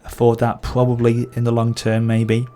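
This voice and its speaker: A man's voice: mid-range voice, monotone, normal speed, warm tone, a little hiss or hum. Man with a sore throat, muttering along. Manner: thinks aloud, self-correcting, muttering to himself. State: sore throat with occasional coughing. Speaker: gameplay channel host. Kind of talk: casual strategy-game commentary.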